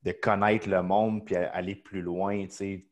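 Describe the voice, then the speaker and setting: A man's voice: sits low, level tone, measured pace. Eager man hosting a podcast. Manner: eager, chatty, lots of fillers. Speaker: podcast host. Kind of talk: casual podcast conversation.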